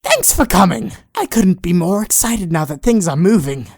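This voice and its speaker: A man's voice: high-pitched, sing-song, normal speed, dry recording, full character voice. Man voicing a smug otherworldly schemer, dry sound. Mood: gleeful, smug. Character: gleeful, self-satisfied otherworldly schemer experimenting on humans.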